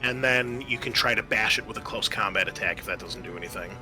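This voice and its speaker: A man's voice: on the low side, fast, pushed and bright. A man acting as game master of a tabletop horror game, talking casually with swearing and narrating dramatically. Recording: music behind the voice.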